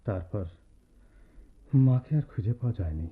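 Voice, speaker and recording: A man's voice: lowish voice, animated, normal speed, husky. Brooding, wounded young man, phone-like sound. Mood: sorrowful. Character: brooding, wounded young son, proud and earnest. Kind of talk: Bengali radio drama acting. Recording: thin phone-like audio over music.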